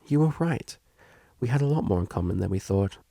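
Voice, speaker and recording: A man's voice: lowish voice, lively intonation, brisk, vocal fry, warm tone, no echo, clean studio sound. A man voicing a prim, guarded young female pony student with a formal, hesitant manner in a story reading. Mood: hesitant, confessing.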